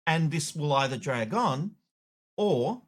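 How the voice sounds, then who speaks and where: A man's voice: on the high side, animated, slow pace. A man hosting a show, delivering opinionated, emphatic editorial commentary. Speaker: show host, media commentator.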